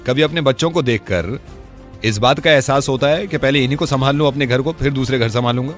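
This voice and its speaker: A man's voice: medium pitch, a little fast, soft background music, pitched below the performer's own voice, natural voice. A man playing a formal, insistent television news interviewer in a mock live interview. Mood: scolding. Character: pushy male TV news interviewer grilling a politician.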